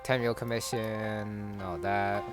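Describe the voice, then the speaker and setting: Guy with a lowish voice chatting to himself over a game, music going behind him. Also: level tone, takes it slowly. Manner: musing to himself in short remarks. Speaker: gamer commenting on his playthrough. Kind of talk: casual let's-play commentary. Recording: game music under the commentary.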